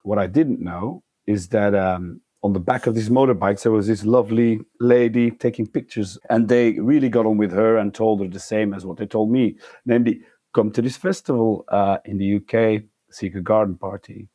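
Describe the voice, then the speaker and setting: A man's voice: on the low side, steady tone, normal speed, few pauses, dark tone, no room sound. A man from Flanders with a Flemish accent, a podcast guest telling anecdotes casually with self-deprecating humour. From Flanders, Belgium. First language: Flemish Dutch.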